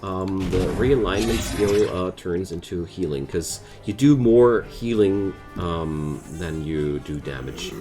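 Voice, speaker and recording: A man's voice: low voice, slow pace, hardly any pauses. A man with a German accent giving casual gameplay commentary, thinking aloud as he plays. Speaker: gaming video creator. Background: music.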